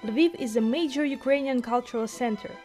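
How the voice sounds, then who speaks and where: A woman with a high-pitched voice hosts a musical tour vlog. She moves between scripted narration and casual interview questions, with music clearly playing behind her. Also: fairly quick, darkish tone. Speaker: vlogger, orchestra musician and interviewer.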